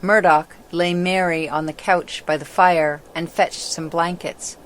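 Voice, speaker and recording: A woman's voice: lowish voice, steady tone, a little slow. A woman narrating a children's story from a script. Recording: clearly noisy background ambience.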